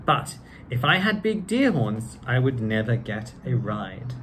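A man's voice: mid-range voice, very animated, unhurried, a bit bright. A middle-aged man putting on a British accent, reading to children in a theatrical, genteel and effusive way with playful banter. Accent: British, put on. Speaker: children's storybook reader, actor. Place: living room with a piano. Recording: faint background room noise.

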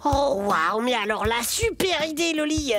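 A woman voicing an excitable boy teddy bear puppet with a Belgian French accent, talking in an enthusiastic, slangy way. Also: high voice, measured pace. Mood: excited. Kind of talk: children's puppet show. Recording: music behind the voice.

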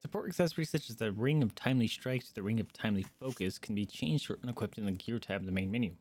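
A man's voice: fairly low pitch, fast talker, a little mellow, breathy edge. A man giving casual gameplay commentary as a streamer, muttering to himself as he reads on-screen text aloud. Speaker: streamer playing a video game. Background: video game music.

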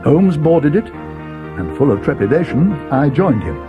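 An actor playing an earnest, loyal young friend of a detective, narrating a dramatic audio storybook. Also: male voice, a little high, very animated, a little slow, muffled recording, over music. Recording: 1980s read-along cassette.

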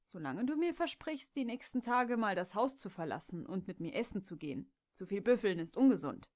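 A woman's voice: mid-range voice, brisk, lightly acted. A woman voicing an easygoing young stallion who talks in a soothing, teasing way. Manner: relaxed and soothing.

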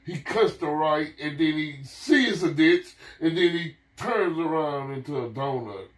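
Higher-voiced middle-aged man, roomy and distant. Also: expressive, measured pace. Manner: profane, repetitive, acts out reactions. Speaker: storyteller. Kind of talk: animated casual storytelling. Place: living room couch, indoors.

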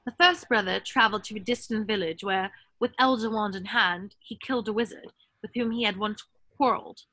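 A woman's voice: medium pitch, a little fast, pushed and bright, no echo. A woman playing an earnest, bookish young witch in a scripted table read over a video call. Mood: solemn storytelling. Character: bookish, earnest young witch reading a dark fairy tale.

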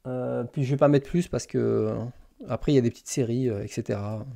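A man's voice: medium pitch, fairly even intonation, fairly quick, vocal fry, mellow. A man hosting a casual live stream, hesitantly thinking aloud as he types. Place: small indoor room lined with bookshelves.

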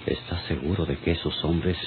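An actor playing a wary, commanding crime boss in an old radio serial, with a Mexican accent. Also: male voice, a bass voice, steady tone, moderate pace. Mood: secretive, stern. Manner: secretive, commanding. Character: wary, scolding male boss plotting a killing in secret. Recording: tinny, very noisy old radio recording.

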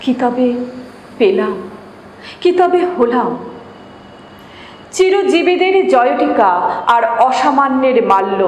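A woman reciting poetry in a dramatic, measured manner with drawn-out pauses. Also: sits high. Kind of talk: expressive Bengali poetry recitation. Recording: reverberant echo, noticeable background hiss.

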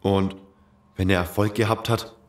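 A man's voice: deep voice, steady tone, moderate pace, close to an everyday voice. A man playing a sober, disheartened survivor in a German audio drama. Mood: questioning. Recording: echoey space, faint noise.

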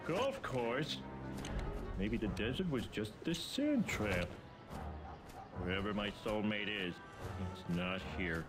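A man voicing a dim, excitable middle-aged cartoon family man, whiny and dopey, given to exclamatory outbursts. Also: fairly low pitch, takes it slowly, pauses often. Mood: alarmed, glum. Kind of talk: animated comedy cartoon acting. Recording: loud music behind the voice. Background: loud music.

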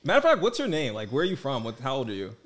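A man in his twenties co-hosting a podcast, chatting playfully and teasing, recorded on a boom mic in a studio. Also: huge pitch swings, rapid-fire, fairly bright tone. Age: 20s. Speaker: podcast co-host. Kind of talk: casual chat. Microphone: boom mic on stand.

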